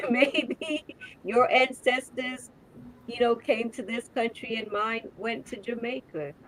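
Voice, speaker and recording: A woman's voice: a little high, steady tone, slow, a crowd in the background, rough recording. A woman hosting a podcast in casual conversation, speaking earnestly and stressing her points, often repeating words as she builds up to them. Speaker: podcast host.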